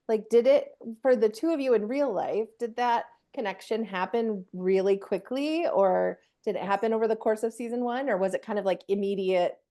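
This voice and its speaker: A woman's voice: medium pitch, dark tone. Casual woman podcast host. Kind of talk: casual conversational interviewing.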